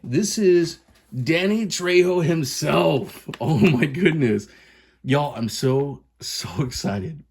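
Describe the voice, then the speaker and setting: Excited Californian man, husky and warm. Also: on the high side, slow. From Southern California. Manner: excited, chatty, many fillers and restarts. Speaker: coffee reviewer. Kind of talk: casual vlog chat.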